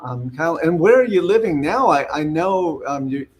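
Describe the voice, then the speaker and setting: A man hosts a casual interview. His voice sits a bit higher than most men's, and he talks in an informal, conversational way. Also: expressive, moderate pace, a little mellow. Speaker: interview host. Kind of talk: casual chat.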